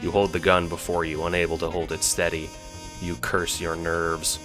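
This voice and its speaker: A man's voice: low-pitched, monotone, normal speed, rough recording. A man narrating an audio drama in a dramatic, scripted storytelling style, with music behind him.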